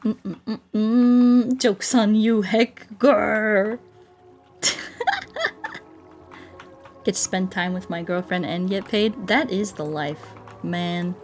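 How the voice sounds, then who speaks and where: A woman's voice: mid-range voice, fairly even intonation, extremely slow, long pauses, a little mellow, faint music behind. A woman livestreaming a video game, chatting casually with viewers in a giggly, playful, mock-earnest way. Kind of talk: casual chat with viewers while playing.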